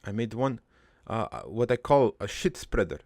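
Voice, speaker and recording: A man playing a gruff, crude army veteran turned prankster, telling his regretful life story in a halting, matter-of-fact ramble for an improvised cartoon monologue. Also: lowish voice, big pitch swings, a little mellow, no room sound, studio quality, exaggerated voice. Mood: proud, mischievous.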